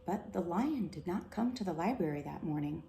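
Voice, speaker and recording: A woman reading a children's storybook aloud in an expressive narrating style. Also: lowish voice, normal speed, vocal fry. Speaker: storybook reader. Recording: roomy echo, faint background noise.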